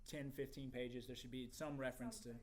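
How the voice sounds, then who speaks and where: A man's voice: mid-range voice, level tone, brisk, very noisy. A man giving casual, thinking-aloud critique in a group discussion, hesitant and speaking in broken phrases. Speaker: feedback giver at a screenplay table read.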